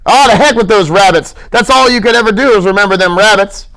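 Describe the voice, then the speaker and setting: A small, short-tempered working man who scolds and grumbles in a high, irritable voice, as a male reader puts him on. He talks with gruff impatience in this storybook reading. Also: fast, fairly bright tone, poor audio. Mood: gruff, scolding. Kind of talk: audiobook character reading.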